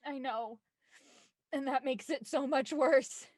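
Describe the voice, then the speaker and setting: A woman's voice: high voice, takes it slowly, dry recording. A woman playing an anxious twenty-four-year-old writer finding her nerve, in a naturalistic, hesitant audio drama performance. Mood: defiant, hurt. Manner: hesitant, earnest.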